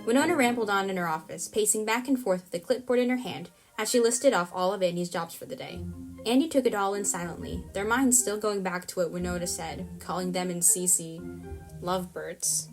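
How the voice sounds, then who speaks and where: A woman's voice: on the low side, brisk. Woman narrating a story over music. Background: music bed.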